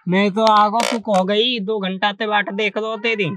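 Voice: mid-range voice, level tone, measured pace, a bit bright, slight background noise, natural voice. A performer playing a cheeky, complaining schoolboy son in a comedy skit.

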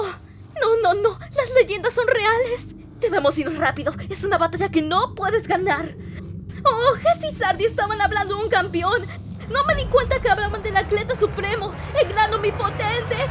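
Woman as a very high-pitched anxious cartoon girlfriend. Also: unhurried. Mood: panicked. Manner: high, girlish, worried pleading. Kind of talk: fan-dub cartoon acting in Spanish. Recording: loud music behind the voice.

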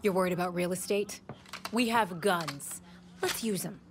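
A woman's voice: on the low side, moderate pace, noisy background, lightly acted. A woman playing a feisty, loyal young gang member in an action video game, outspoken and challenging. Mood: defiant.

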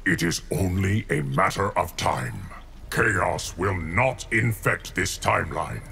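An actor playing a stern, solemn, imperious fighter in a video game, speaking in a measured, formal way. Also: male voice, deep voice, expressive, vocal fry, crowd noise, full character voice. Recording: loud music behind.

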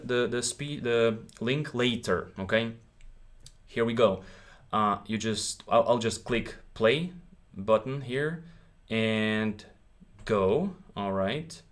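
A man in his thirties, a native Ukrainian speaker with a Ukrainian accent, teaching English in a live stream from a small room, encouraging and checking in with his viewers often. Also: on the low side. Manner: encouraging, repeats himself, checks in with "okay?".

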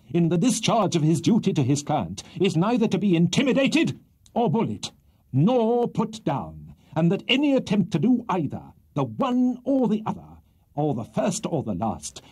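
A man's voice: fairly high pitch, darkish tone, husky, voice pushed up. Pompous barrister acted by a man, wildly swooping. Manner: theatrical oratory, indignant and swelling. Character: pompous, bombastic male barrister haranguing a jury. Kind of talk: dramatised audiobook reading of a Victorian comic novel.

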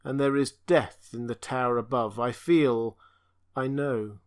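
A man's voice: mid-range voice, steady tone, unhurried, close to an everyday voice. A man voicing an ancient, blind, tortured alien being that speaks in a raised, mournful, chanting way. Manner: lamenting, chanting, raised and pleading.

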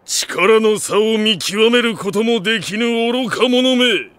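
An actor playing an arrogant, mighty warlord in an anime drama CD, with an imperious, scornful and grandiose manner. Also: male voice, high voice, slow pace, a bit bright, no echo. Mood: scornful, menacing. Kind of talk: anime game drama CD acting. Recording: music behind the voice.

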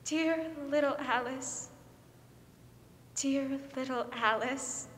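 A sorrowful, frail woman acted by a young woman in an old radio play. Her voice is high and slow, echoing through a hall. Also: a little hiss or hum. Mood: sorrowful, tender. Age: young adult. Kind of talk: 1940s radio drama acting. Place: theater stage, standing mic. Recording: echoey stage hall, distant.

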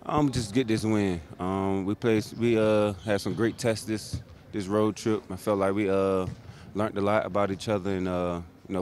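Deep-voiced laid-back basketball player. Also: male voice, darkish tone, slight background noise. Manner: laid-back, halting, many fillers. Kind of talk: media scrum answers. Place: empty arena seats, indoors. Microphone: handheld reporter mics held close.

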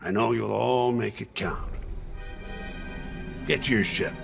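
A gravelly, solemn old alien officer. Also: male voice, fairly low pitch, soft background music, heavily acted. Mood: solemn, hopeful. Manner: slow, emphatic, weighty. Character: older fish-like alien male officer, solemn and steadfast. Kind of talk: video game cutscene dialogue.

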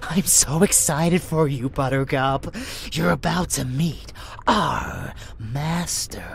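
Man playing a cocky, slow-talking vampire lover. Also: on the high side, gravelly, slight background noise. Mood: excited, conspiratorial. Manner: casual, teasing, intimate. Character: cocky young-sounding rebellious male vampire lover, unhinged. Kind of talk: ASMR roleplay audio drama.

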